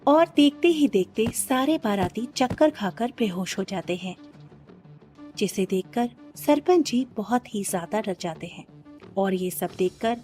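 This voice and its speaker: A woman's voice: mid-range voice, lively intonation, measured pace, a little mellow, faint music behind. A woman giving scripted narration for a children's cartoon story.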